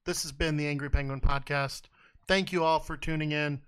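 Bright-voiced deadpan podcast host. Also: male voice, fairly high pitch, normal speed, clean tone. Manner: deadpan mock-serious explanations. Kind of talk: casual comedic podcast chat.

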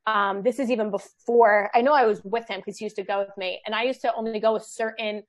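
A woman's voice: mid-range voice, fairly even intonation, fast talker. A woman talking casually on a podcast, rambling through her story with frequent restarts. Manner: rambling, restarts often, self-deprecating. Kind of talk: casual chat.

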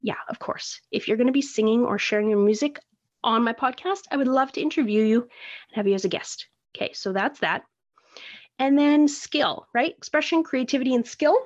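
Canadian woman, solo podcast host, chatty and self-mocking, slightly high voice, somewhat dull recording. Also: moderate pace. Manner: rambling, self-deprecating, chatty. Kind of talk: casual solo podcast monologue. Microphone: phone recording.

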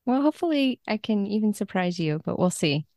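A woman chatting casually as an interview guest, telling things in an animated way and acting out quoted thoughts. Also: mid-range voice, a little fast, dry recording, studio quality. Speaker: podcast guest, book translator.

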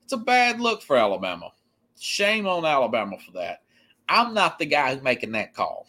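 A man hosting a sports podcast, giving opinionated, unscripted commentary in a halting, emphatic way that often circles back to repeat points. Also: on the high side, wildly swooping pitch, bright, forceful tone.

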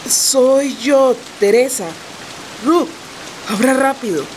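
An actress playing an anguished schoolteacher in a radio drama, speaking in a pressing, dramatic way. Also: female voice, sits high, slow, slight background noise, rough recording. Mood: urgent. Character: anguished, urgent schoolteacher woman warning her friends. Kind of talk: radio theatre acting.

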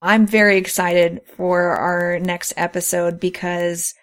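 A woman's voice: lowish voice, level tone, slow, a bit bright. A woman hosting a podcast in an earnest, encouraging, conversational way. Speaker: podcast host.

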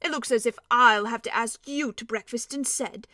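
A woman voicing a cheerful, stocky male dwarf with a hearty, emphatic, drawn-out way of talking. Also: on the high side, pushed and bright, no room sound, studio quality.

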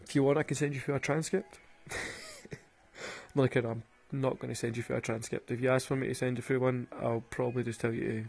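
Gravelly Glaswegian man telling stories. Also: medium pitch, level tone, brisk, a little mellow. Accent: Glaswegian Scottish. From Glasgow, Scotland. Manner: rambling, sweary, laughs at his own stories. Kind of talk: casual storytelling monologue.

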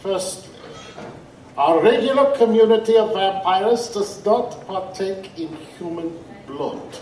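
An actor playing a courtly, condescending vampire liaison with formal, deliberate phrasing in a steampunk audio drama. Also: male voice, high-pitched, animated, darkish tone. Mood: haughty, amused. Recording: echoey, distant, faint noise.

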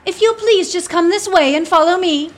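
Self-styled camp duchess, played by an actress: high-pitched, eager, grandly formal with a folksy streak. Radio drama. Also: female voice, normal speed. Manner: mock-regal formality mixed with folksy talk. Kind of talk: radio play acting.

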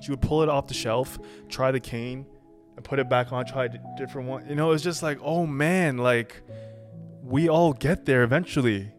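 A man from Canada whose first language is Chinese, co-hosting a casual podcast chat, laid-back and animated when joking. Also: mid-range voice, moderate pace, faint music behind. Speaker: podcast co-host.

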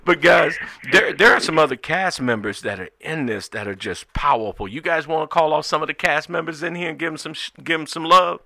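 A man's voice: on the high side, fairly quick, vocal fry, hardly any pauses, bright, forceful tone, no room sound, studio quality. A man hosting live talk radio in a conversational, folksy way with emphatic phrasing. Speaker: radio show host.

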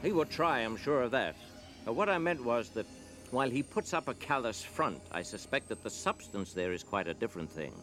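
An older man playing a wise, watchful mentor in a radio drama, speaking formally and with measured calm. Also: mid-range voice, slight background noise. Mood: musing. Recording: radio drama with music behind.

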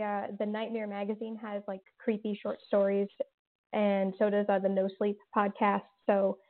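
A woman talking as an interview guest, circling her answers with plenty of fillers and restarts. Her voice sits at an ordinary middle pitch. It comes through a narrow, tinny phone-like line. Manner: rambling, many fillers and restarts. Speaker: podcast creator and guest.

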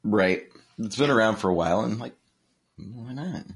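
A man's voice: on the low side, animated, normal speed, creaky. A man chatting casually in an easygoing way, acting out bits of dialogue as he tells anecdotes. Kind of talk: casual chat.

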